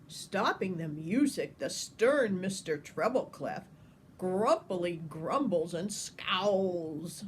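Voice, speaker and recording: An older woman reading a children's picture book aloud with expressive, deliberate phrasing, like a grandmother talking to young listeners. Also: lowish voice, a little hiss or hum.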